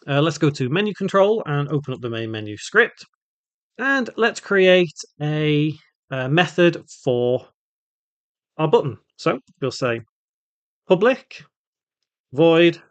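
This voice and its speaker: A man hosting an informal step-by-step software tutorial, thinking aloud as he works through it. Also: fairly high pitch, no echo, muffled recording. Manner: thinks aloud while working, spelling out code symbol by symbol. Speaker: tutorial host, game development instructor.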